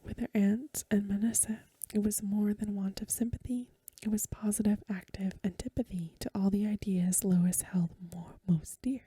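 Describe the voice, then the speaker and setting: A woman reading a story aloud as soft-spoken ASMR, in a gentle, hushed, whispery voice close to the microphone. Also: mid-range voice, fairly even intonation, normal speed, few pauses, slightly rough, no echo, low-quality recording. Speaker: ASMR host.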